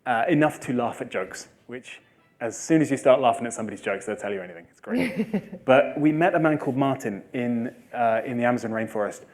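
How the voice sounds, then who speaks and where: Man, speaker in a Q&A, lively storyteller, jokey, mid-pitched. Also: fairly quick. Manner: lively, jokey, acts out quoted villagers. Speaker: speaker at a talk. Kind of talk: animated Q&A storytelling. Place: lecture hall with audience.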